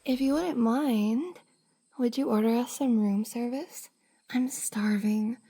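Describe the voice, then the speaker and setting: A woman playing a shy, bubbly young cosplayer and affectionate best friend in an intimate roleplay ASMR, soft, hesitant and giggly. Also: on the high side, takes it slowly, heavily acted. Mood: embarrassed, relieved. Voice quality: soft, breathy, slightly husky. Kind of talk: F4M roleplay ASMR, intimate one-sided conversation.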